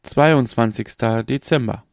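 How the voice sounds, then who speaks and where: A man with a creaky, mid-pitched voice acts as announcer. His scripted narration is terse. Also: unhurried. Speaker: announcer of dates.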